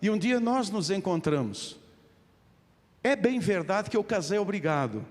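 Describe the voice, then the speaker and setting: A middle-aged man preaches with a European Portuguese accent in a slightly high voice. He speaks straight to his listeners and drives points home through repetition. The sound carries the long reverb of a large church hall. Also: expressive, measured pace. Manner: emphatic repetition, addressing listeners directly. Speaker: pastor preaching. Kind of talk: evangelical sermon.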